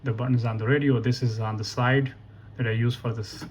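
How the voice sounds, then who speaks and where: A man giving a casual, unscripted software walkthrough in a halting way, thinking aloud and correcting himself. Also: mid-range voice, fairly even intonation. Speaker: tutorial presenter. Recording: noisy room with keyboard typing. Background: computer keyboard clicks, ambient noise.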